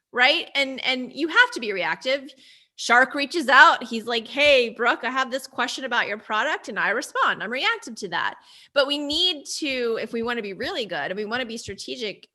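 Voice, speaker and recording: Bright, girlish-sounding businesswoman. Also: a little high. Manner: chatty and animated, laughs easily. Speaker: agency CEO, guest. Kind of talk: casual interview chat.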